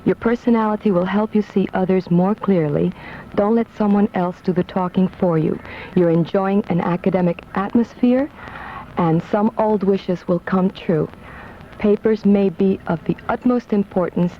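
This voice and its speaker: A woman's voice: mid-range voice, moderate pace. A woman reading from a script as an astrologer guest on a 1970s radio show. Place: radio studio. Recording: dull, slightly noisy 1970s AM radio.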